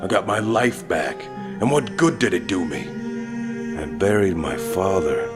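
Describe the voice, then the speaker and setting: A man's voice: sits low, lively intonation, measured pace. A man playing a guilt-ridden, grim vigilante and ex-mercenary in a dramatic comic dub, brooding and terse. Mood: bitter regret. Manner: brooding, confessional, terse under pressure. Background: background music.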